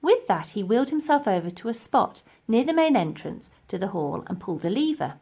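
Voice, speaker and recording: A woman narrating a scripted children's audiobook in an expressive, deliberate storytelling manner. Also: medium pitch, slightly rough. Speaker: audiobook reader.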